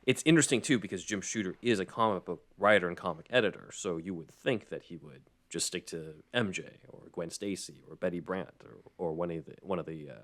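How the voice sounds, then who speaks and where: Low-voiced man hosting a podcast. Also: a little fast, few pauses, a bit bright. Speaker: podcast host. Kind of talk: casual podcast discussion of a script.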